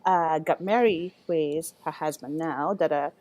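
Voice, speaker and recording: A middle-aged woman from Japan, a chef speaking as a guest in a relaxed interview, halting and often restarting her sentences. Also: low-pitched, no room sound. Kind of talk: casual interview chat.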